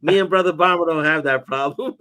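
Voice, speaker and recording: A man's voice: a little high, expressive, normal speed, sharp tone. A man hosting a live watch party stream, chatting casually in slang, calling people bro and laughing often. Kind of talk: casual chat.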